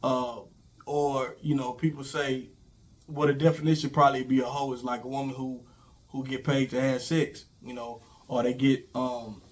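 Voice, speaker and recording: A middle-aged man who is feeling sick and slightly raspy, hosting his own channel and talking through relationship advice in a rambling, informal way with many fillers. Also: medium pitch, little pitch movement, normal speed, poor audio. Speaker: YouTube host. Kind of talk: casual relationship advice talk.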